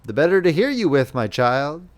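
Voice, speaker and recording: A man's voice: on the high side, very animated, moderate pace. A man voicing a wicked, sly wolf in a fairy tale reading, coaxing and falsely polite. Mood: sly, disguised. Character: wicked sly wolf, feigning friendliness and a grandmother's voice.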